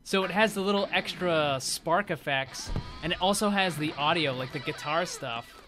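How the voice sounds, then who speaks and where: A man's voice: fairly high pitch, normal speed, over music. A man giving casual, off-the-cuff commentary as host of a gaming showcase video.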